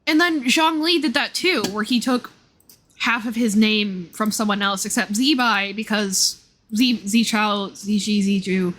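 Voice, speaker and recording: A woman's voice: mid-range voice, fairly bright tone, a little hiss or hum. A woman livestreaming a game, chatting casually and enthusiastically about its lore as she rambles and thinks aloud. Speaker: live streamer.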